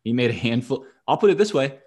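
A man's voice: mid-range voice, lively intonation, fast talker, a little mellow, breathy edge. A man co-hosting a sports podcast in casual banter, with a dry, wry, profane way of talking.

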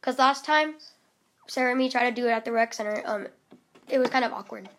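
Giggly high-voiced young woman. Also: fast talker, slight background noise. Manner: giggly, rambling. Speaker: vlog host. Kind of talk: casual chat with friends. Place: at home.

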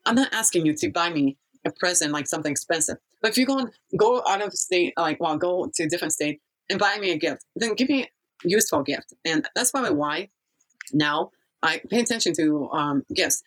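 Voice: mid-range voice, expressive, brisk, fairly bright tone, poor audio. A person from Kazakhstan telling a life story in a casual interview, rambling and often losing the thread.

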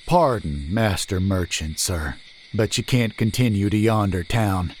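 A bowed, nervous countryman speaks in humble old-fashioned phrasing, played by a male narrator. His voice stays low and slow, with a faint hiss behind it in an audiobook. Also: expressive. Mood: pleading.

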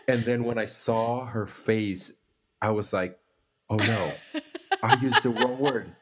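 A man's voice: on the low side, steady tone, slow pace, a bit bright, husky. A man from Indonesia whose first language is Indonesian, a podcast guest telling a story in a chatty, laughing way. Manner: laughing, chatty, restarts often. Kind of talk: casual storytelling.

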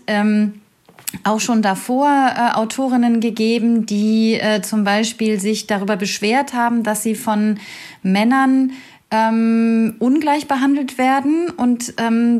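A woman university lecturer, a guest expert, explaining a topic at length in a thoughtful, hesitant way with many fillers. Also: on the high side. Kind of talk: explanatory academic talk.

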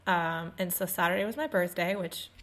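A woman in her twenties co-hosting a podcast in casual chat, her voice still a little raspy after losing it, telling stories with laughs. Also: on the low side, moderate pace, a bit bright. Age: 20s. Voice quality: slightly raspy. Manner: chatty, laughing storyteller. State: recovering from lost voice. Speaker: podcast co-host.

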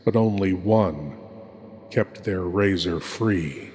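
A man playing a sinister gentleman horror host, theatrical and scripted, with drawn-out dramatic pauses. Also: low-pitched. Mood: wry, ominous. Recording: long cavernous reverb.

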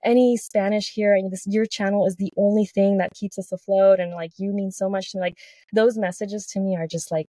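A woman's voice: mid-range voice, steady tone, brisk, warm tone, dry recording. A woman in her thirties from Texas, a podcast guest talking earnestly in casual chat, with frequent restarts. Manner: earnest, with many restarts and "like" fillers.

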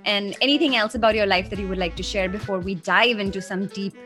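A woman's voice: medium pitch, steady tone, fast, fairly bright tone, soft background music. A woman hosting a podcast, introducing and chatting with her guest in an enthusiastic, playfully teasing way. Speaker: podcast host. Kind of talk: podcast conversation.

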